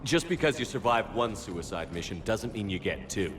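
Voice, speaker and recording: Sci-fi game lead: stern, resolute commander, low-pitched and clipped, in an echoey hall over loud noise. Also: male voice, sing-song, moderate pace. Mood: probing, familiar. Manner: terse, authoritative. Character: stern, determined male military commander in a sci-fi war. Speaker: game protagonist. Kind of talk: cinematic video game dialogue.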